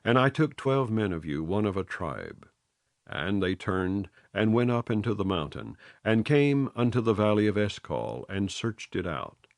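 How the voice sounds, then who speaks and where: A man reading scripture aloud in a measured, solemn way, phrase by phrase. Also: fairly low pitch, very animated, gravelly, no room sound, clean studio sound. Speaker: Bible reader.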